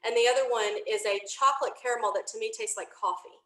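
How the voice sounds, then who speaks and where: A woman's voice: on the high side, fairly even intonation, fast, fairly bright tone, roomy sound, slight background noise. A woman chatting informally as an interview guest, explaining her venture in detailed, rambling fashion. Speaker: podcast creator being interviewed. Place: indoor home office, remote video call.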